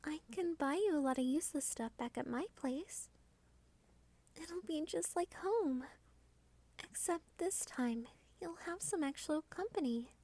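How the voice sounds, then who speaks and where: A woman playing a starved, doting yet domineering female vampire in an intimate ASMR roleplay, teasing and often slipping into breathy whispers. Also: high-pitched, animated, a little slow, darkish tone. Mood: possessive, coaxing. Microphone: close studio microphone.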